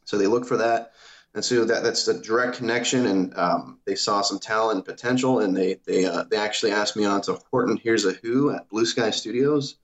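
A man from Iowa, a guest animator, answering casually and modestly with many pauses. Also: on the low side. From Iowa, USA. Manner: modest, hesitant, many fillers. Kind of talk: casual interview. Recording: dull lo-fi audio.

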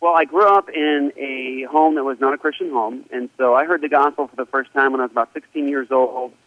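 A man's voice: mid-range voice, steady tone, fairly quick, no room sound. A middle-aged man, a pastor, talking earnestly on the phone as a guest in an interview, with many fillers. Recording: tinny phone line.

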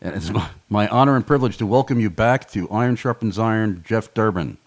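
A man hosts a radio interview, jovial and quick to joke. His voice sits a little low for a man. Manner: jovial, joking. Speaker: radio host.

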